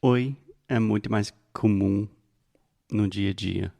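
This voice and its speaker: A man co-hosting a language podcast, a learner himself, who asks measured questions and slows down on key phrases. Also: lowish voice, steady tone, vocal fry, mellow. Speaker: podcast co-host and Portuguese learner. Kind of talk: casual teaching chat.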